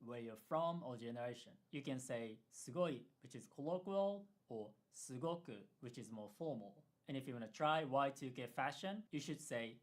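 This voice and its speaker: A man's voice: medium pitch, expressive, normal speed, hardly any pauses. Upbeat man teaching in a scripted video. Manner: clear, upbeat explaining with playful asides. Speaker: language teacher.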